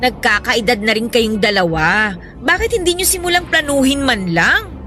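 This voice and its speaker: Woman acting bright-voiced, pressing confidante. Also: a little high, lively intonation, moderate pace. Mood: insistent. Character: concerned, persistent woman urging a couple to marry. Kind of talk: Filipino audio love-drama acting. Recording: music bed behind the voice.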